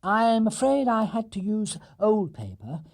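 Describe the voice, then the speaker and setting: A man's voice: sits high, very animated, measured pace, gravelly, darkish tone, pitched above the performer's own voice, exaggerated voice. A man voicing a polite, earnest young male bear in a children's story, with a childlike, apologetic manner.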